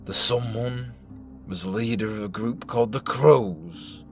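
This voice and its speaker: Man playing sadistic older post-apocalyptic warlord, gravelly creak, unhurried taunting delivery, audio drama villain. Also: mid-range voice, faint music behind, lightly acted. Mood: bitter, brooding. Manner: slow, taunting, sweary delivery. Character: menacing, sadistic older male leader of post-apocalyptic survivors.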